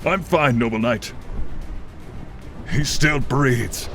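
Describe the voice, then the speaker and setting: A man voicing a noble, loyal dragon with a deep voice, speaking in a solemn, grandiose way in a fantasy ASMR roleplay. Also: music in the background. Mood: urgent, battle-charged. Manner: solemn, grandiose, deliberate storytelling delivery. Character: noble friendly male dragon, earnest and brave, loyal companion. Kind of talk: fantasy ASMR roleplay, dramatic monologue to listener. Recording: clear storm noise behind the voice. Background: rain, thunder and rumbling storm ambience.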